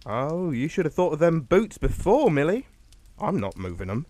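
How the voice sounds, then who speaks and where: An actor playing an idle, sullen young English son in a radio drama, flippant and grumbling. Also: male voice, a little high, sing-song, normal speed, a little mellow, dry recording. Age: young adult. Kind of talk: radio suspense acting.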